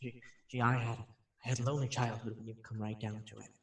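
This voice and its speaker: A man's voice: medium pitch, little pitch movement, fast, natural voice. An actor playing a nervous, chatty radio personality who rambles and stammers his way through a haunted house in a radio drama. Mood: forced cheer, rambling. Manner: jittery, stammering, rambling, self-interrupting. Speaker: radio host. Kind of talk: radio drama acting, rambling live monologue. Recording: roomy, distant microphone.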